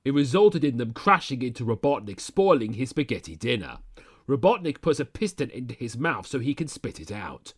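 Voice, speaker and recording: A man reading scripted narration, retelling a cartoon adventure episode as a storyteller. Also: mid-range voice. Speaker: narrator of an animated-series recap.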